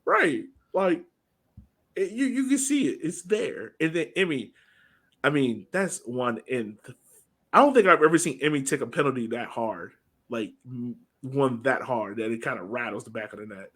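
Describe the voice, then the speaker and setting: A man's voice: medium pitch, expressive, normal speed, fairly bright tone, breathy edge. A man co-hosting a soccer podcast in an informal, conversational way, chatting casually about the game. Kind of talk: casual sports chat.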